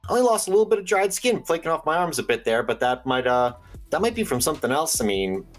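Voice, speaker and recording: An actor playing an eccentric, conspiracy-minded late-night radio host in an audio drama, theatrical, folksy and rambling. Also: male voice, on the high side, sing-song, fast talker, soft background music. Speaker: radio call-in show host.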